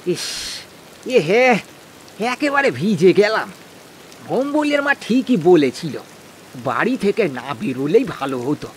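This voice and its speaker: A man's voice: sits high, big pitch swings, measured pace, a little hiss or hum. A man voicing an anxious, stammering village farmer in a cartoon, with rustic speech. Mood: uneasy dismay. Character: anxious, hard-working village farmer, timid husband. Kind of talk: cartoon voice acting.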